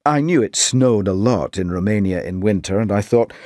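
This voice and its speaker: Scottish man, low voice, dry recording. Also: lively intonation, moderate pace, a little mellow, no echo. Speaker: speaker giving a talk. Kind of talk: scripted exam listening monologue.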